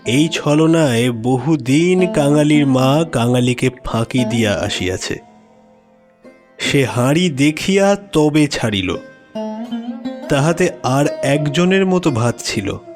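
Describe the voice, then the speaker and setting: A man narrating a classic literary story in a measured, expressive, dramatic way. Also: mid-range voice. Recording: music behind the voice.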